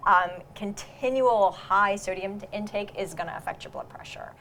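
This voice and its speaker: A woman's voice: medium pitch, moderate pace, fairly bright tone, slight background noise, low-quality recording. A woman nutritionist explaining diet in a casual interview.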